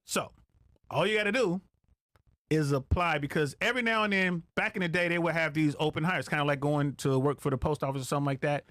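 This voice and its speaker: Slangy middle-aged man, higher-pitched voice. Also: quick pace, fairly bright tone, dry recording. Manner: slangy, emphatic, repeats phrases for emphasis. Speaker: guest, retired air traffic controller. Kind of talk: casual podcast conversation.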